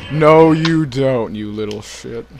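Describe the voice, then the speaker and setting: A man's voice: mid-range voice, slow, gravelly. A man giving casual, joking, profane commentary as he plays a game. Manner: jokey, profane, playfully mimics game lines. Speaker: let's play commentator and player. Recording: game music behind. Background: fighting game music.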